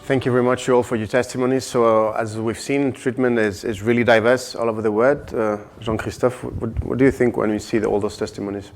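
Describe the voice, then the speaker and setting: Male moderator for a panel discussion, flat pitch, halting delivery with repeated words. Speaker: round table moderator.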